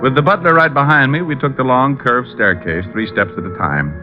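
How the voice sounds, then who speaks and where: An actor playing a flippant, self-assured private detective with a breezy, sardonic delivery in an old-time radio drama. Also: male voice, mid-range voice, brisk, natural voice. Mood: wry narrating. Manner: breezy, sardonic, dry wit. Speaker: lead actor and narrator. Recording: dull vintage radio recording with music.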